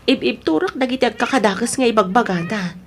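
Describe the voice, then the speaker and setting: A woman playing a gentle, hurt but patient wife in a radio-style drama, pleading in a Filipino accent. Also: on the high side, animated, fast, a little hiss or hum.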